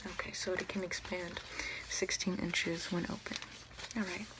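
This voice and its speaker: A young woman whispering slowly and deliberately in an ASMR roleplay as a doctor, breathy and close to the mic. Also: fairly low pitch, flat delivery, noisy background. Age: young adult. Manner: slow, deliberate, repetitive counting and instructions. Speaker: ASMR performer role-playing a doctor giving a check-up. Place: small room at home, indoors. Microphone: close to the camera or mic, seated.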